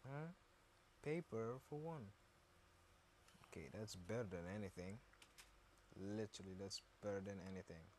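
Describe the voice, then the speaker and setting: A man with a deep voice giving casual gameplay commentary, repeating words as he mutters to himself. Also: moderate pace, pauses often, a little mellow, a little hiss or hum. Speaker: gaming video host.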